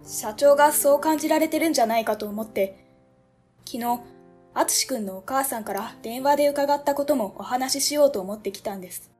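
A woman's voice: sits high, brisk, a little mellow, faint music behind, natural voice. A woman playing a polite, earnest young ward office clerk who explains things carefully.

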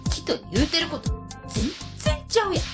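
A woman's voice: very high-pitched, wildly swooping pitch, brisk, loud music behind the voice, heavily acted. A woman playing a blunt, nosy, mocking middle-aged auntie with a Kansai accent in a comic radio drama.

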